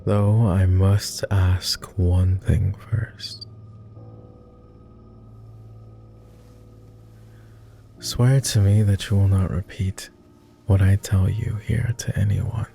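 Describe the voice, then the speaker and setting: Man playing a deep, gravelly, slow-spoken vampire lord. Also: steady tone, mellow, slight background noise, heavily acted. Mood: solemn. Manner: formal, hesitant, breathy murmuring with many pauses. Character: weary, courtly vampire lord who loathes blood. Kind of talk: ASMR roleplay acting.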